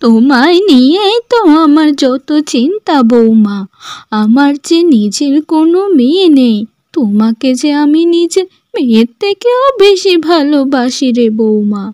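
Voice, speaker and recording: A woman playing a caring middle-aged village mother-in-law in slow, melodramatic cartoon dialogue. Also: high voice, lively intonation, mellow, no echo, clean studio sound. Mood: loving, wistful.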